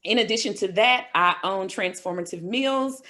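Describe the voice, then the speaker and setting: A woman's voice: medium pitch, moderate pace, sharp tone. Earnest woman from Mississippi. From Mississippi, USA. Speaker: guest, healthcare worker. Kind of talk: self-introduction in an interview.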